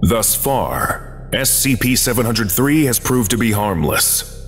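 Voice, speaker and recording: A man narrating a scripted archive briefing in a formal, measured, clinical manner. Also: medium pitch, expressive. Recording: long reverb, music behind. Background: music.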